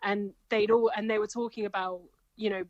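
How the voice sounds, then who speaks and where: A woman's voice: medium pitch, fairly even intonation, dry recording, dull audio. Enthusiastic woman, dry close mic. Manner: enthusiastic, gushing, quick to laugh. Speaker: singer and comedian, guest. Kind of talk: casual interview chat.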